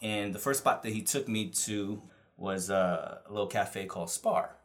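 A man's voice: lowish voice, moderate pace. A man who is a bit sick, telling an easygoing story on a casual podcast. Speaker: podcast co-host.

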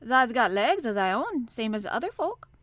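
A woman's voice: a little high, big pitch swings, normal speed, fairly bright tone, no room sound. Lady doing a cheery yorkshire farm boy for a storybook, sturdy and encouraging, dry sound. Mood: cheerful, reassuring. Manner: stout, reassuring dialect speech.

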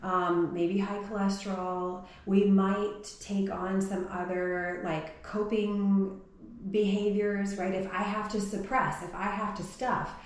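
A woman's voice: medium pitch, level tone, slow pace. A woman co-hosting a podcast, talking in a thoughtful, musing way and often asking rhetorical questions. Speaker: podcast co-host. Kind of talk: reflective conversational chat. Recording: very echoey room with faint noise.

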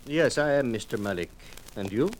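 A man's voice: medium pitch, expressive, normal speed, lightly acted. An actor playing a guarded, formal male cotton merchant in a 1940s radio drama. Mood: wary, puzzled. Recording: faintly noisy, clicky old radio recording.

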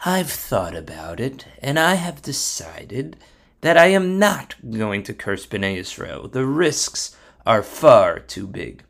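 A man playing a reluctant, greedy, easily flustered curse-maker in a told story, with an excitable, hesitant manner. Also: mid-range voice, slow pace, creaky, full character voice. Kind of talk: storybook character voice.

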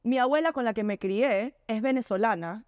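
A woman's voice: fairly high pitch, moderate pace, a little mellow, no room sound, dull audio. Blunt young Miami-born woman. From born in Miami, raised in Ecuador. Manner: blunt, code-switches into English. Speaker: podcast co-host. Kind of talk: casual chat.

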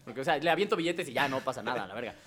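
A man's voice: medium pitch, lively intonation, very fast, background noise. A Mexican man with a Mexican accent hosting a casual podcast, full of crude jokes and banter. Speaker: podcast host.

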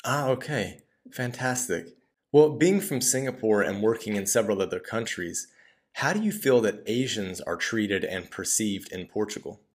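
A man's voice: lowish voice, normal speed. A man hosting a podcast, interviewing a guest in an upbeat, encouraging way. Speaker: podcast host.

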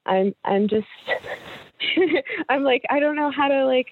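A woman's voice: sits high, expressive, slow pace, a little hiss or hum. A young woman interview guest, talking in a hesitant, rambling way with many restarts. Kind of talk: casual interview chat. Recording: dry, tinny phone-like line.

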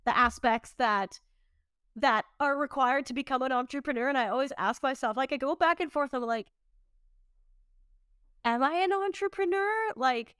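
A woman chatting casually on a podcast, reflective and informal, thinking out loud. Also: sits high, moderate pace, clean tone, no echo, clean studio sound. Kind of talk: casual conversational podcast chat.

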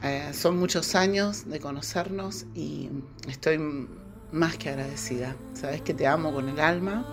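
German woman hosting, deep, over music. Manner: halting, frequent restarts and throat clearing; effusive, heartfelt thanks. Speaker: podcast host. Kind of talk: podcast interview.

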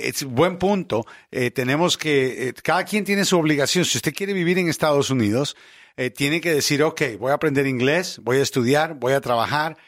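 Brief: Guatemala-born man, talk host, slightly high voice, forceful repeated points, dry studio sound. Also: dry recording. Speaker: talk show co-host, lawyer. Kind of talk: casual radio talk show discussion.

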